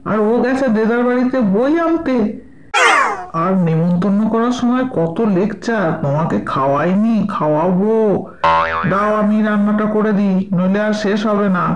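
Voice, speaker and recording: An echoey, dull recording carries a man playing a fussy cartoon dinner guest. His high voice grumbles hungrily, full of demands and teasing complaints. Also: normal speed, noisy background, natural voice. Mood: grumbling. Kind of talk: amateur cartoon voice acting.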